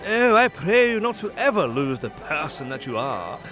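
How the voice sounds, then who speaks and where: Suave aristocratic wooer male actor, gallant and flowery, fairly high voice, period radio farce. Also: sing-song, moderate pace, fairly bright tone. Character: suave, courtly aristocratic suitor, flattering. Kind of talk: period romantic-comedy acting. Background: prominent music.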